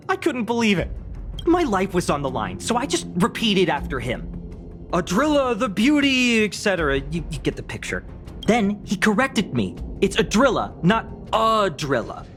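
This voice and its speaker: A man voicing a jaded, self-deprecating, excitable office worker in a video game, theatrical and sardonic. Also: high-pitched.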